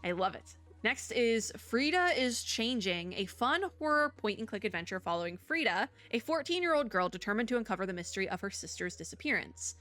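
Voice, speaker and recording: A woman's voice: medium pitch, expressive, a little fast, fairly bright tone. A young woman streamer with a sore throat, chatting casually and self-deprecatingly while she reviews games, often restarting her sentences. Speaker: VTuber reviewing game showcase. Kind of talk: casual commentary.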